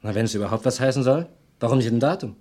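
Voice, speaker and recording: An actor playing a brisk, observant police assistant in a German radio crime drama. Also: male voice, medium pitch, warm tone, lightly acted. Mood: brisk, matter-of-fact.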